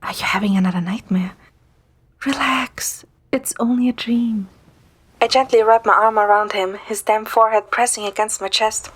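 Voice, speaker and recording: A woman playing a playful, caring young woman who narrates a romantic story in the first person, in a gentle, soft manner. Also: mid-range voice, steady tone, moderate pace, husky, natural voice. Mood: gentle, soothing. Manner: gentle, soft, sometimes whispering.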